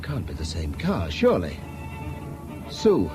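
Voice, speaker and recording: A man's voice: sits low, huge pitch swings, a little slow, music in the background, heavily acted. An actor playing an educated middle-class Englishman, wry and harried, articulate, in a radio drama. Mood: alarmed. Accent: British English. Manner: articulate, theatrical turns of phrase. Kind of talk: naturalistic radio drama acting. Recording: noisy radio drama with background ambience.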